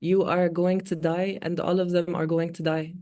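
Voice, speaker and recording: Mellow low-voiced woman, native Arabic speaker. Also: little pitch movement. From born in Oman, Palestinian. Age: 40s. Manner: earnest, measured, with frequent pauses and fillers. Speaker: guest speaker, multimedia artist. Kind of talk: reflective interview talk.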